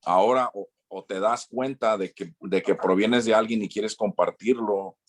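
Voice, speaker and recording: A Mexican man, a former missionary, answering an interview question slowly, with many restarts and repeated words. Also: on the low side, fairly even intonation.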